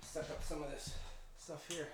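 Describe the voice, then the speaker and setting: A man's voice: a little high, level tone, normal speed. A man narrating a hands-on DIY job casually, thinking aloud in a folksy, informal way. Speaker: DIY electronics video host. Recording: roomy echo, clear background noise, clicks.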